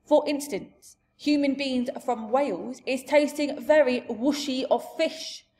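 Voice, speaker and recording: A woman's voice: high-pitched, slow, darkish tone, a little hiss or hum, pitched above the performer's own voice. A woman voicing a big, friendly, blustering male giant from a storybook, speaking emphatically in jumbled grammar and made-up words. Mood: earnest. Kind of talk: storybook character voice.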